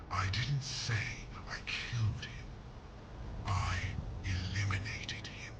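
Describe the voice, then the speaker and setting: A man voicing a whispering immortal dark lord. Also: low-pitched. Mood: menacing. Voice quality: hoarse whispering delivery. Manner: slow, solemn and threatening. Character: undying brother turned dark lord, cold and menacing. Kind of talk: fan voice acting for a game mod. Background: loud music.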